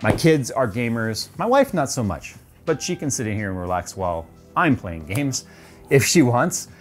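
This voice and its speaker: A man's voice: mid-range voice, very animated, moderate pace, soft background music. A man in his forties giving a casual tour of his home game room, chatty and loose with plenty of ums, on a lapel mic. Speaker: tech YouTuber.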